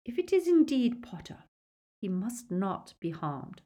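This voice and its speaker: A woman voicing an unhinged, imperious villainous witch in an audiobook, sharp and commanding. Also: on the high side, very animated, measured pace, voice pushed up. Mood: anxious, urgent.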